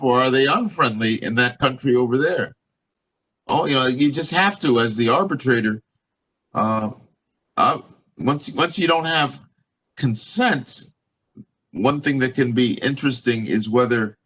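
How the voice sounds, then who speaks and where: A man's voice: medium pitch, a bit bright, poor audio. A man from the United States, a law professor, lecturing over a video call, thinking aloud with many fillers and restarts. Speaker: law professor giving a guest talk.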